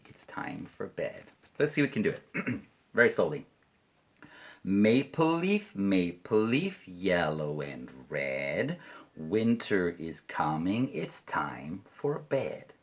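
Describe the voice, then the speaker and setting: A Canadian man with a deep, low voice teaching English to children online. He talks slowly and lets his pitch swing widely, playful as he leads simple chants and repeats each line clearly. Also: huge pitch swings.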